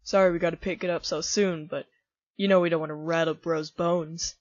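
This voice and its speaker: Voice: medium pitch, steady tone, a little fast, noisy background, lightly acted. An actor voicing a laid-back, joking male skeleton monster in a comic dub, talking in a casual, easygoing way. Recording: dull lo-fi audio with faint music.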